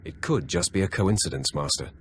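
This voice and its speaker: An actor playing a cool Sith apprentice in a video game, terse, dry and unflappable. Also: male voice, low voice, brisk, slight background noise, lightly acted. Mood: calm.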